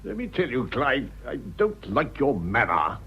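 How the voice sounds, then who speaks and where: An actor playing a blustering, curt, bad-tempered old lord with an upper-class English accent in a radio play. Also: male voice, medium pitch, huge pitch swings, a little slow, a bit bright, slightly rough, soft background music, heavily acted. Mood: irritated. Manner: curt, grumpy, blunt. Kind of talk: stage comedy radio acting. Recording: dull, muffled old radio drama.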